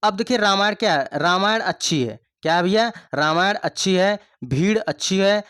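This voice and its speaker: Guy with a high voice doing a hindi grammar class, keeps repeating stuff and asking the class questions, dry close mic. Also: lively intonation, moderate pace, fairly bright tone, smooth, no room sound. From India. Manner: repeats points, asks the class rhetorical questions. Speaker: exam coaching teacher. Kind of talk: online Hindi grammar lesson.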